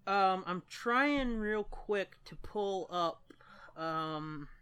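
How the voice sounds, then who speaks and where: A man's voice: sits high, lively intonation, drawn-out words, bright, forceful tone, a little hiss or hum. A man co-hosting a podcast in casual, informal chat, with plenty of profanity. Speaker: podcast co-host.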